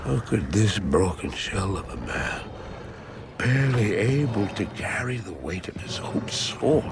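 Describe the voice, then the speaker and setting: An actor playing a haunted, guilt-ridden man who recounts dark memories in a deep, gravelly voice for a game cinematic. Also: animated, unhurried, background noise. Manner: brooding, hushed, rising to anguished outbursts. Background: loud music score.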